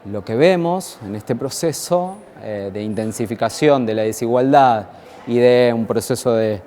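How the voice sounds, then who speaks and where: An Argentine man speaking as an academic, reflecting aloud in a halting way, repeating phrases and searching for words as he checks in with listeners. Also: medium pitch, mellow. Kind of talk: reflective lecture on education.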